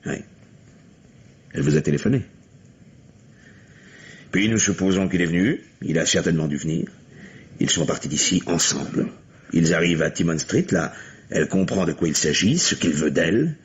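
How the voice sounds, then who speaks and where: Deep-voiced probing police detective. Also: male voice, lively intonation, moderate pace, long pauses. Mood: methodical, matter-of-fact. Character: methodical, probing police detective questioning a widower. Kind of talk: French radio mystery drama acting. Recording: muffled vintage radio drama recording.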